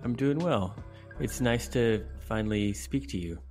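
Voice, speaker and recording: A man hosting a podcast, reading his intro and then chatting with a guest in a relaxed, slightly halting way. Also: medium pitch, soft background music. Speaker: podcast host.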